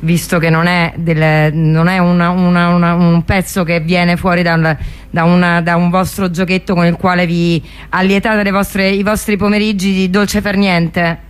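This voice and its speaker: A woman hosting a live radio interview, asking halting, teasing and insistent questions. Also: lowish voice, little pitch movement, clear, resonant tone.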